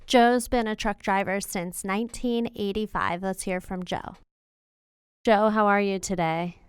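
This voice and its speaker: Woman, podcast host, exceptionally clear voice, dry close sound, chatty, informal interviewing. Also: mid-range voice, fairly even intonation, measured pace, no room sound. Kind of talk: casual conversational interview.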